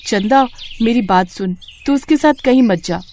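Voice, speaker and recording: A woman's voice: on the high side, expressive, moderate pace, darkish tone, slight background noise, natural voice. A woman voicing a knowing, bitter, worldly abandoned wife in melodramatic story dialogue. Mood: knowing, urgent.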